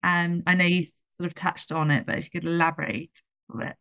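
A woman's voice: lowish voice, flat delivery, a little fast, vocal fry, sharp tone, clear, resonant tone, highs cut off. A woman hosting a podcast, asking questions in a bubbly, enthusiastic way. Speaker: podcast host. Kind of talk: podcast interview.

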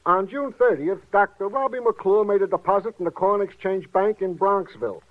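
An actor playing an eager, chatty, fussy police sidekick in a theatrical 1940s radio drama style. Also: male voice, high-pitched, lively intonation, moderate pace, phone-quality sound. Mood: eager reporting. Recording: band-limited vintage radio recording.

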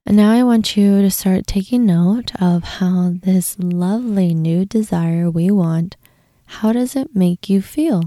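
Slow, very mellow woman guiding meditation. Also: fairly low pitch, no room sound, studio quality. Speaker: guided meditation host. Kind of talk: guided meditation, soothing instruction.

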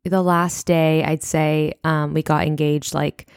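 A woman's voice: low-pitched, level tone, slow pace, vocal fry, clear, resonant tone, no room sound, clean studio sound. A young woman in her twenties hosting her own podcast, talking in a reflective, chatty way and sounding congested. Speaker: podcast host. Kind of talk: solo podcast talk.

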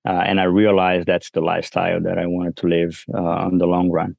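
A man with a Brazilian accent carrying a trace of French speaks in a very low voice. He talks casually and loosely, with plenty of fillers and restarts. The sound is dry and close. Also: fairly quick, gravelly, no echo. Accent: Brazilian with some French. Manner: relaxed, many fillers and restarts. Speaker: guest entrepreneur. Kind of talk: casual interview conversation.

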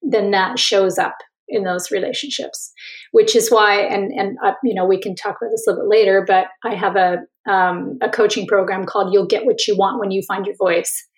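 A woman's voice: mid-range voice, steady tone, moderate pace, low-quality recording. A woman author and coach, a guest in an interview, speaking in a reflective, conversational way with frequent restarts.

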